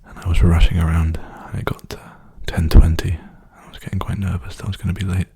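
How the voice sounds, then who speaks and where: A young man speaking softly in a hushed, breathy, soothing whisper, rambling casually for an ASMR video. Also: low voice, little pitch movement, fast. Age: young adult.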